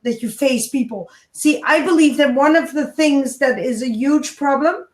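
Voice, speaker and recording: A woman with a high voice talks in an animated, coaching way, stressing her points and turning to address listeners directly. Her voice rings in a roomy, echoing space. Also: normal speed. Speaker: guest, kindness speaker. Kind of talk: animated advice-giving interview talk.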